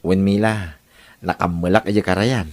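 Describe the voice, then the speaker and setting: A man's voice: low-pitched, steady tone, unhurried, darkish tone. A man playing a shy, humble and helpful young suitor in an Ilocano audio drama. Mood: friendly, polite.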